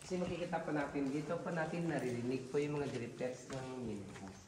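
A man's voice: medium pitch, fairly quick. A man with a Filipino accent working as a tour guide, explaining informally inside a large cave. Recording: noisy, echoey cave with clicks.

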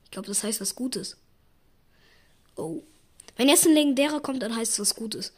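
A hoarse young woman giving casual gaming commentary, excitable and yelping at results, slipping into a raspy near-whisper when she talks quietly. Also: on the high side, normal speed. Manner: excitable, shrieks and groans at spin results. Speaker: gaming YouTuber.

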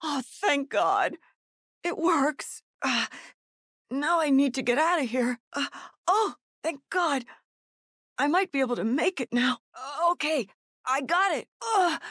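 A woman's voice: sits high, expressive, slow pace, a bit bright, no room sound, clean studio sound, full character voice. A woman playing a frightened, desperate mother in a survival horror video game, with pleading, gasping delivery. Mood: relieved. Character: desperate, frightened mother searching for her missing son.

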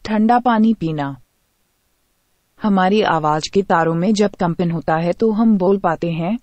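A woman reading a scripted health-tips voice-over in an even, reading tone. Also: medium pitch, no room sound. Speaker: health segment narrator.

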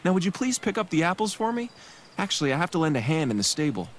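Quick, high-voiced farm lad. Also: male voice, very animated, a little hiss or hum. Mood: sheepish, wry. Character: good-natured, put-upon teenage farm boy. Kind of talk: video game voice acting.

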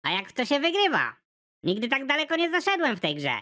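A woman's voice: huge pitch swings, quick pace, sharp tone, heavily acted. A woman doing a brash, clueless male crook. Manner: brash and crude, with swings in pitch. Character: dim-witted young male kidnapper, boastful, panicky.

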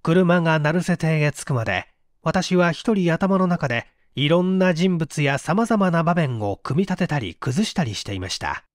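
Animated male storyteller, higher-pitched voice. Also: normal speed, dry recording, studio quality. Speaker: audiobook narrator. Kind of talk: scripted literary narration, mystery story reading.

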